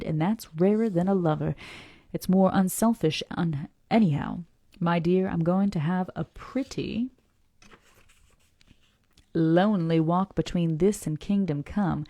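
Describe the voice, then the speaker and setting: Woman reading gallant young cowboy suitor, earnest, hearty, slow and emphatic, mellow tone. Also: fairly low pitch, dry recording, clean studio sound.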